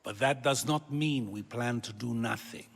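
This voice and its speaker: An actor playing an older philosopher who speaks in a measured way and questions people calmly and searchingly. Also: male voice, mid-range voice, gravelly. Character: older male philosopher, calm, probing questioner.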